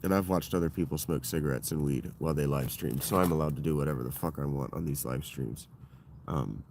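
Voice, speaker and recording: A man with a deep voice hosting his own livestream, talking in a loose, digressive and profane monologue that keeps circling back on itself. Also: a little fast, noisy background. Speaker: livestream host. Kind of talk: rambling solo livestream monologue.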